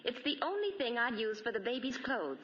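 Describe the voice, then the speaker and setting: A dull 1940s radio recording carries an earnest young mother. Her bright, fairly high voice is lively and emphatic, as in a scripted soap testimonial. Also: fairly quick, a little hiss or hum. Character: practical, careful young mother praising laundry soap.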